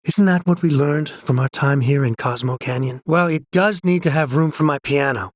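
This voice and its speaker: Fond, chatty male partner for a game scene, male actor, everyday delivery, slightly high voice. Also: moderate pace, dry recording, clean studio sound, close to an everyday voice. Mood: fond, breezy. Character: affectionate male pianist planning a home with his partner. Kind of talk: casual chat in a video game.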